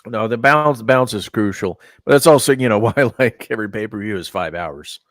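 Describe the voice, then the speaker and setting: A man's voice: on the low side, fairly quick, a bit bright, no room sound, clean studio sound. A man from Texas hosting a casual chat podcast, rambling with lots of fillers and restarts. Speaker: podcast host. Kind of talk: casual chat about wrestling and gaming.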